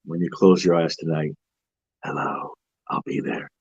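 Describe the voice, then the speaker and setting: A man's voice: level tone, normal speed, slightly rough, dry recording, lo-fi sound. A man putting on a menacing male horror priest who whispers that he lives in your mind. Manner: low, hushed, creeping threats.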